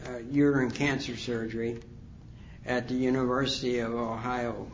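A man in his sixties speaks at a conference about his own recovery, wandering through his story with plenty of pauses and fillers. His pitch sits in an ordinary middle range. The recording sounds dull and lo-fi, with a faint hum of ambience behind him. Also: flat delivery. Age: 60s. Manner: rambling, halting, many fillers. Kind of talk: personal testimony talk. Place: conference hall.

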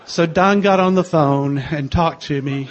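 An older man with a soft voice, sharing his own story in a gentle, wry way. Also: a little high, a little slow, muffled recording. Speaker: speaker sharing a recovery story. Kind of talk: personal testimony to a group.

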